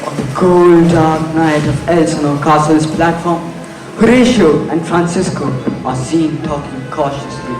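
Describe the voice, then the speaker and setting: A man's voice: on the high side, measured pace. A young man giving scripted stage narration. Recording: echoey, distant mic, music behind.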